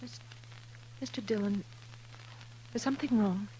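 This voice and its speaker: A woman's voice: mid-range voice, unhurried, dark tone, natural voice. An actress playing a gracious frontier lady in a 1950s radio western. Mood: worried. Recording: noisy 1950s radio recording.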